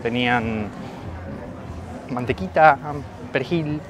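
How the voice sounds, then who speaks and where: A chatty man in his thirties with an Argentine Spanish accent, talking through a travel food vlog from a handheld selfie camera. His pitch sits in a middling range, and steady traffic and street noise run behind him. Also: takes it slowly. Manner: chatty, playful banter with co-host. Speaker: travel vlogger. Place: outdoors on city streets and cafés. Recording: noisy street recording.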